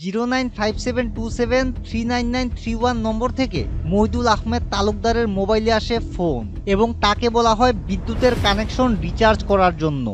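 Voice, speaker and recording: High-pitched male news narrator, music behind. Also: fast, hardly any pauses. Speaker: news reporter. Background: music bed.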